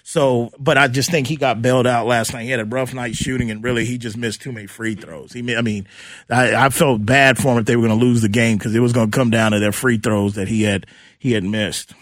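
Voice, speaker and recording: Male sports talk host, informal and conversational, middling pitch, close dry sound. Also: fairly even intonation, brisk, dry recording.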